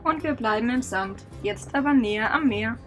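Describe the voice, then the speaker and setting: A woman narrates a sailing travel diary in a relaxed, lightly wry tone. Her voice sits a little high, and music plays clearly underneath. The delivery is calm and conversational. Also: normal speed. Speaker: sailing vlog co-host. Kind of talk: voice-over narration.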